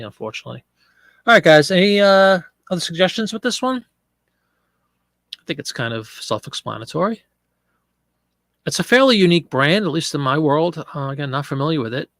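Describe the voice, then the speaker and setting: A man's voice: medium pitch, vocal fry, fairly bright tone, dry recording. A middle-aged man with a head cold, sounding congested, chatting casually on a live stream and answering viewers as he lists items for sale. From Pennsylvania. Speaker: live-stream eBay seller.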